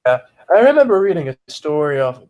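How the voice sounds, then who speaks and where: A man's voice: fairly high pitch, very animated, brisk, highs cut off. A man co-hosting a casual sports podcast while he has a cold and sniffles. He recites game stats bluntly and swears often. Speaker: podcast co-host.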